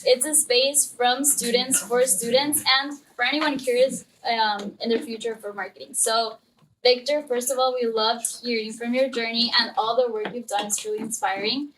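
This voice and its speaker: Young woman from ecuador hosting, friendly and upbeat, bit high pitched, mic far away. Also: steady tone, normal speed, fairly bright tone. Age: young adult. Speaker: student podcast host. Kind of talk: hosting a live interview. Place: room with a live student audience. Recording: distant room mic, faint noise.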